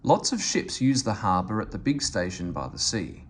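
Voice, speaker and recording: A man reading a children's story aloud in an expressive, animated way. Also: fairly low pitch, normal speed, slight background noise. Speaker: storybook reader.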